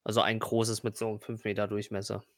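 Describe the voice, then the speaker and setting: A man with a fairly low voice runs a tabletop role-playing game in a very dry recording. He describes scenes casually and off the cuff, often stopping to reword what he is saying. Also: level tone, brisk, dry recording.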